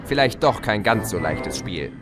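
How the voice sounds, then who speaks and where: A man's voice: on the low side, expressive, measured pace, music in the background. A man playing a sly, gloating spirit of chaos in a German audio drama, mocking and theatrical. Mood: smug narrating.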